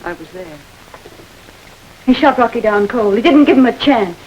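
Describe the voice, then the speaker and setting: A woman's voice: on the high side, animated, normal speed, lo-fi sound, natural voice. An actress playing a young woman who confronts a gang with earnest accusations in an old crime film. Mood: accusing. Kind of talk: 1930s film acting. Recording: old film soundtrack with faint hiss.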